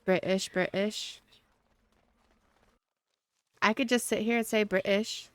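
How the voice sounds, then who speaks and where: A woman live-streaming a game and chatting casually with viewers in a rambling, halting, giggly way. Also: medium pitch, fairly even intonation, a bit bright, no room sound.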